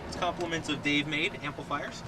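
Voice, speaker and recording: High-voiced man shouting over road noise. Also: fairly even intonation, a little fast. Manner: joking, laughs a lot, hollers into the radio. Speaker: driver running a big radio amplifier. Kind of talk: casual chat on the road. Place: moving car. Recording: loud road noise, home video.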